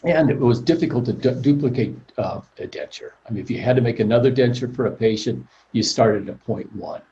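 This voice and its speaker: Muffled older man lecturing calmly. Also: medium pitch, slight background noise. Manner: measured and plainspoken, practical. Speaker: prosthodontist presenter. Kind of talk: informal professional presentation. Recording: muffled lo-fi call audio.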